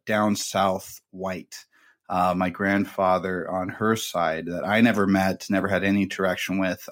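Male host, lowish voice, casual storytelling, lots of ums and uhs. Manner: rambling, many uh and um fillers. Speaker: podcast host. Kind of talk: casual conversation.

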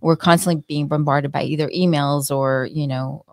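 Woman, very low voice, dry close sound, earnest reflective wellness guest. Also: fairly even intonation, normal speed, creaky, darkish tone, dry recording. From born in the United States, partly raised in Israel. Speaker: health and wellness expert guest. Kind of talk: casual interview chat.

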